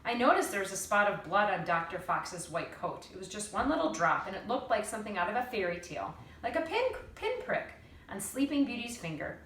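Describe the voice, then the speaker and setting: A woman narrates a storybook with an animated, dramatic delivery and deliberate phrasing. Her voice sits a little away from the microphone in a roomy space, with faint noise behind it. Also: medium pitch, far from the mic. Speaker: teacher reading aloud.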